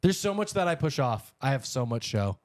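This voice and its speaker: A man with a mid-range voice talks as a podcast co-host. His delivery is informal and chatty, like relaxed banter over the news. The sound is dry, with very little room in it. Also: mid-range voice, fairly quick, no echo. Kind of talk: casual conversational news banter.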